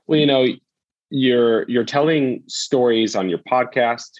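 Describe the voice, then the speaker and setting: A man's voice: medium pitch, unhurried, a little mellow. A man conversationally interviewing a guest as a podcast host. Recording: dull lo-fi audio.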